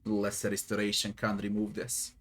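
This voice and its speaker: A man's voice: fairly low pitch, completely flat delivery, normal speed. A man from Serbia with a Serbian accent gives casual game commentary, grumbling, swearing and muttering to himself. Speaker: gamer doing a playthrough. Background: faint game music.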